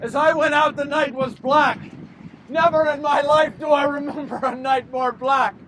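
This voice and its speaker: A man performs a damned, remorseful male betrayer in a biblical stage monologue. His voice is strikingly high for a man. He speaks with theatrical intensity and weighted pauses, tormented by his own guilt. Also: steady tone, some chatter behind. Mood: somber, haunted. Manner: impassioned, dramatic pauses, swings from hushed to shouting. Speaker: actor performing a dramatic monologue.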